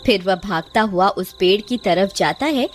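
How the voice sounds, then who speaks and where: A woman's voice: medium pitch, a little slow, faint music behind. A woman giving scripted narration for a cartoon horror story.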